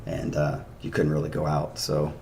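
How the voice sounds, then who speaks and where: A man in his thirties, hoarse from a day of drinking, chatting loosely and rambling to his stream audience into a desk microphone. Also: very deep voice, completely flat delivery, normal speed, a little mellow, a little hiss or hum. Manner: rambling, chatty, laughs often. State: hoarse after a night of drinking. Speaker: streamer reacting to music. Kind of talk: casual chat to a live stream.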